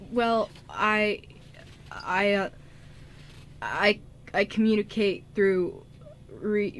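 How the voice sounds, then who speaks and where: A woman's voice: medium pitch, natural voice. A woman playing a shy young male musician in an amateur radio drama, speaking hesitantly with many stammers. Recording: light background noise.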